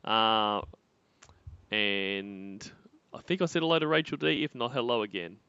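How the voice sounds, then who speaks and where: A man's voice: on the low side, lively intonation, a little slow, sharp tone, clear, resonant tone, no room sound. A man cracking jokes and laughing often as co-host of a stream reaction. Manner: mocking, laughs a lot. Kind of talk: casual banter. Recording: dull, dry home mic.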